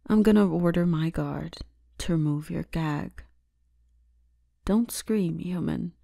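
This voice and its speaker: A dominant yet caring demon lord's daughter, acted by a woman for an ASMR roleplay. Her voice is low and mellow, with a creaky edge. She speaks softly and takes her time, giving orders and teasing, and can slip into a whisper. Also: no room sound, clean studio sound. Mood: stern, commanding. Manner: soft-spoken, unhurried, slipping into breathy whispers. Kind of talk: ASMR roleplay, intimate scripted character acting.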